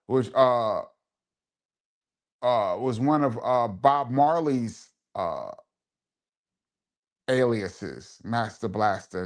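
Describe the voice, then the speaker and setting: A man hosting a live stream as a DJ, chatting casually as he reads out viewer comments and reminisces, giving shout-outs and repeating phrases for emphasis. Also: medium pitch.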